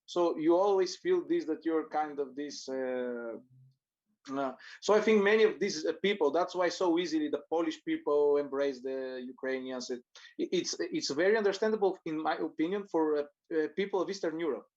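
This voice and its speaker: Man with a fairly high voice. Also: moderate pace, darkish tone, highs cut off. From Bulgaria. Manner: thoughtful, hesitant, with frequent fillers. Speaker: guest. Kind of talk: conversational interview discussion.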